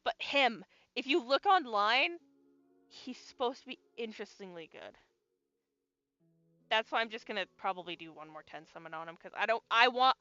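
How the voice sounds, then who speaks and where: A woman's voice: on the high side, sharp tone, no echo, dull audio. Swearing, rambling young woman streamer. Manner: rambling, swears freely, many restarts. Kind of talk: casual gaming chat.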